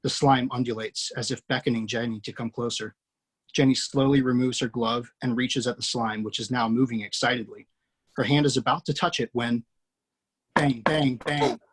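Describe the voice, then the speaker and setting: Male reader of script action lines, husky, steady delivery. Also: mid-range voice, normal speed, poor audio. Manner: steady, matter-of-fact. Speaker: screenplay reader. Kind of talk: reading screenplay action lines.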